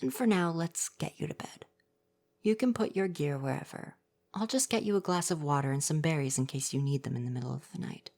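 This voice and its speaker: A man playing a gentle, coy and flirty forest spirit in an ASMR roleplay, speaking softly, at times breathily, in a formal, reassuring way. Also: on the high side, fairly quick, vocal fry. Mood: tender, coy.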